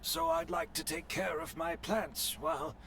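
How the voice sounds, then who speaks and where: An actor playing a gentle elderly master in a video game, calm and wistful. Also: male voice, fairly high pitch, measured pace, creaky, a little hiss or hum, full character voice. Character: elderly gentle male master who tends plants.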